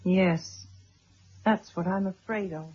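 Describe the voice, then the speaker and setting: A woman acting an anxious, solicitous titled wife in a radio drama, polite and tentative, with a breathy, husky voice. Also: lowish voice, steady tone, slow pace, darkish tone. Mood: uneasy. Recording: dull, muffled old radio recording.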